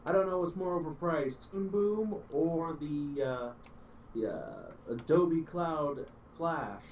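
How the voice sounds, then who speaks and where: A man's voice: on the high side, slow, darkish tone, recorded from a distance, slight background noise. A man co-hosting a casual chat, trading playful jokes with the host. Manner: joking, playful.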